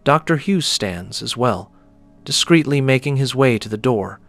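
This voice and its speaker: Male story narrator over soft music. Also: mid-range voice, expressive, measured pace, gravelly.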